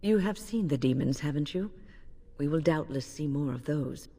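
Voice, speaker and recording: A woman playing an older mage in a fantasy video game, grave, weary and composed, telling things in a measured way. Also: deep voice, expressive, dark tone, big-room sound. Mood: grave, resolute.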